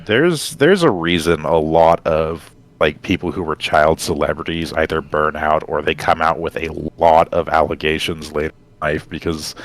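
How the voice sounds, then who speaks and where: A man's voice: a bass voice, fairly bright tone. A man co-hosting a podcast in casual conversation, explaining things in a rambling, digressive way. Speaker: podcast co-host.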